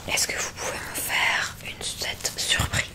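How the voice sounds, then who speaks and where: Female ASMR examiner: breathy whisper, unhurried delivery, gentle and reassuring, close-miked. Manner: soothing, gentle, reassuring instructions. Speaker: ASMR creator playing an examiner in a roleplay. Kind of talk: whispered ASMR medical exam roleplay. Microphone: close ASMR microphone.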